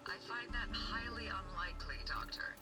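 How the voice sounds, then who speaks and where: A woman's voice: mid-range voice, very animated, slow pace, poor audio. A woman playing a poised, skeptical figure of authority in a video game. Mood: cool, skeptical. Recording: quiet game audio under loud music.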